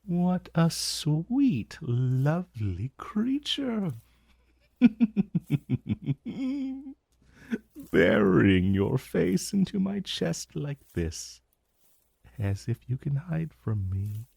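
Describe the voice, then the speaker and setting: A man's voice: a little high, huge pitch swings, gravelly, dark tone, breathy edge, no room sound, full character voice. A man voicing an ancient dragon familiar in a romantic fantasy ASMR roleplay, possessive and silkily tender with a vague menace, murmuring formally and seductively. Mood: amused, possessive. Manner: slow, formal, seductive murmuring with lingering pauses.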